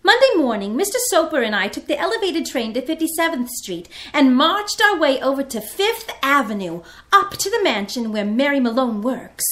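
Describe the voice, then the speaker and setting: An earnest girl of sixteen , acted by a woman, narrates her diary for an old-time radio play. Her voice is high and bright. She tells it theatrically, with swooping, animated intonation. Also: normal speed, few pauses. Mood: earnest, crisp. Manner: vivid, theatrical storytelling.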